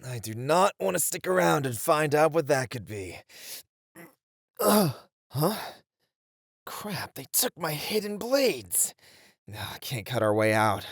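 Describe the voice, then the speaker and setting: A man's voice: a little high, very animated, takes it slowly, gravelly, no room sound, clean studio sound, full character voice. A man playing a cocky, flirtatious captured master ninja with a flippant, sighing delivery in a scripted ASMR audio roleplay. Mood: strained.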